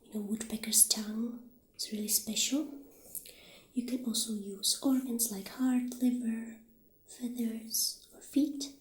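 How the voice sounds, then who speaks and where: Woman as friendly witch shopkeeper for ASMR roleplay: soft, breathy, unhurried, slightly high, roomy sound. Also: level tone, natural voice. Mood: gentle, explaining. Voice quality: soft, breathy, half-whispered. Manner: gentle, unhurried, hesitant pauses. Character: friendly witch shopkeeper showing off potion ingredients.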